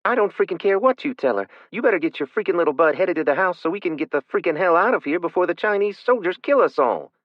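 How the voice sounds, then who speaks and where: A man voicing a furious, bossy teenage girl who yells and scolds her little sister. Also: high-pitched, wildly swooping pitch, very slow, no room sound, lo-fi sound, voice pushed up, full character voice. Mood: angry yelling. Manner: yelling, cussing, scolding.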